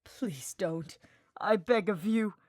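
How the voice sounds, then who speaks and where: A woman's voice: mid-range voice, takes it slowly, a little mellow, dry recording. A woman voicing a dour male mage who speaks in a dry, formal, sarcastic way, in a fantasy story. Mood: pleading.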